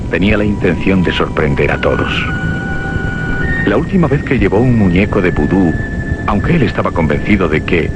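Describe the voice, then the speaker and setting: A man with an accent from Spain, reading scripted suspense radio drama narration in a literary, dramatic way. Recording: loud rumbling noise behind.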